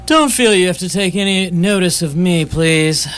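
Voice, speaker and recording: A gloomy robot played by a man speaks in a fairly high voice. It is morose, self-pitying and full of condescension, as staged for a radio play. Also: moderate pace, a bit bright, clean tone, faint music behind. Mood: morose, disdainful. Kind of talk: live radio theatre acting.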